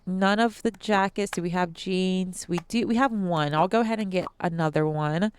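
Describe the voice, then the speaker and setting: A woman's voice: lowish voice, moderate pace, clear, resonant tone. A young adult woman hosting a let's-play, chatting casually to viewers with ums and restarts, her nose a bit stuffy. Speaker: gaming channel host.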